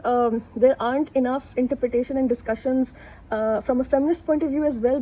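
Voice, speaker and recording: A woman's voice: high-pitched, warm tone, breathy edge, rough recording. A young woman whose first language is Urdu, talking candidly and casually to camera, with plenty of fillers and asides. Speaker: vlogger. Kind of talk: casual reflective monologue to camera.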